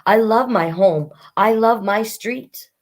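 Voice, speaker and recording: A woman's voice: mid-range voice, takes it slowly. A woman hosting a children's storytime, reading picture books expressively with chatty asides to young listeners.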